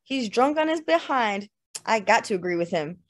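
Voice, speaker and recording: A woman voicing an easygoing, dry-witted old hunter with a flat, folksy manner, in an audiobook. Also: a little high, moderate pace, close to an everyday voice. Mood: flat agreement. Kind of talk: dramatized literary audiobook dialogue.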